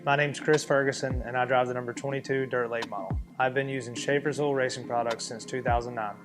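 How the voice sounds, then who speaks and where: A man's voice: medium pitch, steady tone, fast. A man, a race car driver, giving an earnest sponsor testimonial in an ad. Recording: ad with a loud music bed.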